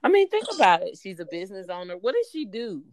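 A woman's voice: medium pitch, fast, dry recording, clean studio sound. A woman co-hosting a casual podcast, joking and laughing as she talks. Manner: animated, joking, laughs often. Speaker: podcast co-host. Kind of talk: casual chat.

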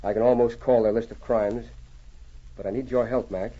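A man playing a calm, resolute Mountie sergeant in an old radio drama, speaking in a firm, measured, commanding way. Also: medium pitch, breathy edge, natural voice. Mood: firm, reasoning. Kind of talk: 1940s radio acting. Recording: muffled old radio recording.

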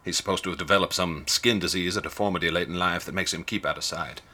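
Deep-voiced folksy old ticket agent. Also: male voice, fast talker, pushed and bright. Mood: gossipy, musing. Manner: folksy, rambling, rustic colloquial speech. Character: folksy older male small-town ticket agent, wary gossip. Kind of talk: radio drama dialogue.

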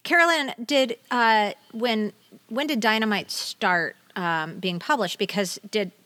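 Woman, 50s, American, mid-pitched, dry recording, conversational podcast co-host. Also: unhurried, a bit bright, dry recording. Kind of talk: casual chat.

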